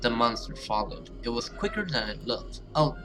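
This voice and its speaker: A man's voice: medium pitch, big pitch swings, normal speed, over music. A man hosting a gaming video, reading story text aloud dramatically and reacting with casual asides.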